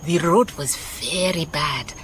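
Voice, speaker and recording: A wise, self-assured lady detective from a radio drama, with a Southern African accent. Her voice is very low and she takes her time over each phrase, warm and emphatic. There is clear background noise with chirping insects. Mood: proud, moved. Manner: unhurried, measured, emphatic repetition.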